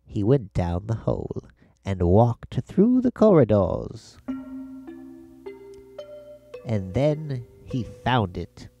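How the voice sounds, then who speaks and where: A man reading a children's story in an expressive, unhurried storytelling manner. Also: fairly low pitch. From Britain. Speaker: storybook reader. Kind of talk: scripted children's story narration. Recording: music playing behind the narration. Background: music.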